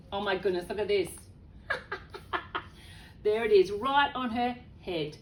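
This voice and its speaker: A woman reading a children's story aloud in an expressive way, with chatty, exclaiming asides to young listeners. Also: mid-range voice, extremely slow, pauses often.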